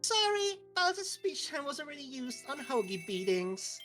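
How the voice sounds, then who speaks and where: A woman acting as a brisk cartoon princess hosting an award show in a story read-aloud, with music behind her. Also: sits high, very animated, fairly bright tone. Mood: brisk, peppy.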